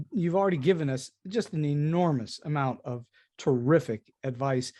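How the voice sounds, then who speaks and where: A man's voice: on the high side, warm tone. A man hosting an interview podcast, putting questions to a guest in a conversational way.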